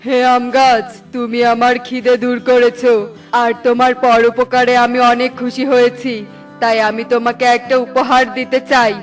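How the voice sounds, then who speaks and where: Casting: man as magical wandering holy man for a cartoon, declamatory, high and monotone. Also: normal speed, faint music behind. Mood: benevolent. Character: wandering, grateful male mystic with magic powers. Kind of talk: children's cartoon dialogue.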